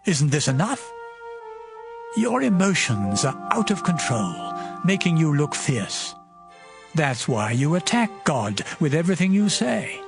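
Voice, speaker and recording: A stern biblical elder, preaches scornfully in an audio drama. He speaks slowly and emphatically, with wide swings of pitch, while music plays clearly behind him. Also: heavily acted. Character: stern, scornful male elder admonishing a sufferer.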